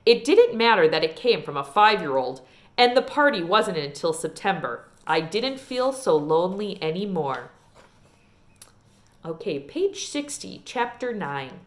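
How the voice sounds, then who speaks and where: A woman reading a children's novel aloud in an expressive storytelling style. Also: lowish voice, unhurried. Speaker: read-aloud reader.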